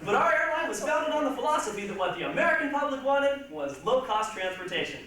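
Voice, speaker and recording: Casting: folksy male airline captain, played by a man, breezy and hungover, lively pitch, heard far off in a big echo. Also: fast talker, exaggerated voice. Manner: breezy, rambling. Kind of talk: staged comic monologue. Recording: distant, echoey, noisy stage recording.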